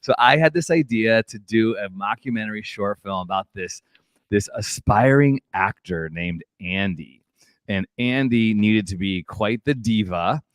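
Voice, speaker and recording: A man's voice: on the low side, measured pace, dry recording. A man hosting a casual live interview chat, enthusiastic and informal, often breaking off and restarting his own sentences.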